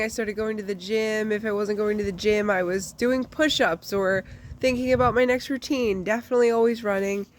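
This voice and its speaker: A woman talks straight to her viewers in an unpolished, candid way, with plenty of ums and likes. Her voice is stuffy and congested, still recovering from the flu, at an ordinary middle pitch. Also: slight background noise. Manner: chatty, candid, with frequent fillers. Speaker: vlogger. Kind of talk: casual personal life update.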